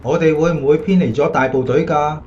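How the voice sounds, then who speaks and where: A man's voice: fairly high pitch, fairly quick, a little mellow, lightly acted. Man as plain-spoken airman, muffled sound. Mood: matter-of-fact. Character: matter-of-fact bomber crewman, chiding his mates. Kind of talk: Cantonese radio drama acting.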